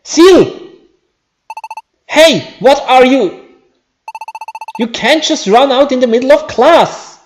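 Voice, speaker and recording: High, excitable creature schoolteacher put on. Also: slow, long pauses, a little hiss or hum. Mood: alarmed. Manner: exclaiming, fussing.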